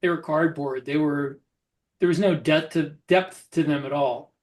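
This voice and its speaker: A man with a fairly high voice talks casually as a podcast co-host. He feels his way through his thoughts, reflective and a little hesitant. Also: fairly even intonation, measured pace, darkish tone. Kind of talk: casual discussion.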